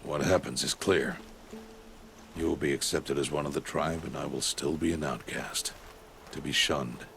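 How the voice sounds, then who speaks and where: An actor playing a stern middle-aged male outcast mentor in a video game, speaking in a deep, grave, measured and terse way. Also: fairly even intonation, gravelly, a bit bright, slight background noise, heavily acted. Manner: solemn and terse. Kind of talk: video game cutscene acting.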